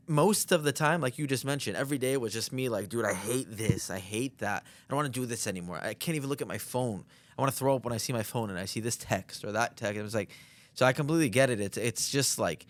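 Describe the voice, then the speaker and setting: A man in his twenties hosts a podcast, talking quickly in a casual, agreeable way, full of "like" and "man". His voice sits at an ordinary pitch. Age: 20s. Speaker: podcast host.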